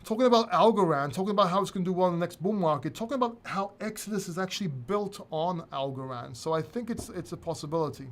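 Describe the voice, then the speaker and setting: A man's voice: sits high, expressive, fast talker, few pauses. A man from Greece hosting a casual live crypto Q&A, reading viewer questions from chat and answering them candidly, sometimes swearing. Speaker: crypto channel host.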